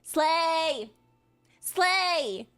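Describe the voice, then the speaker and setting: A young woman giving casual, slangy reaction commentary as a game streamer, excitable and giggly. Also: very high-pitched, very slow. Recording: game music behind.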